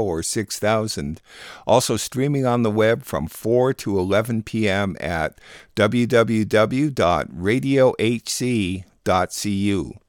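Low-voiced male radio host, dry sound. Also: dry recording. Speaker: radio program host and producer. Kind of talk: scripted radio announcing.

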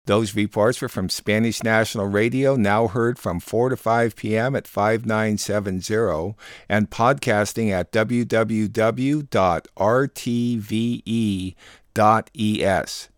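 Low-voiced American radio host, dry sound. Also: male voice, slow pace, no room sound. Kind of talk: scripted announcements.

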